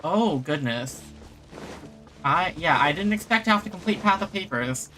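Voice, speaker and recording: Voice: mid-range voice, animated, normal speed, sharp tone, soft background music, poor audio. A game streamer giving reactive live commentary, muttering to themself and reading dialogue aloud.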